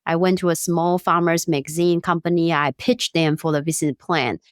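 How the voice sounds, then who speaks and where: Taiwanese-accented woman, smooth and clear. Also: on the low side, brisk, dry recording, studio quality. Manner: non-native grammar, lively storytelling. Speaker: guest, startup founder. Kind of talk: interview conversation.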